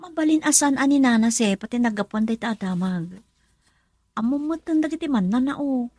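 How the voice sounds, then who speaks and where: A woman's voice: fairly high pitch, lively intonation, a little fast, a little mellow, dry recording. A woman acting an anxious, caring character in a radio drama, urgent and emotive, with an Ilocano Filipino accent. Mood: worried.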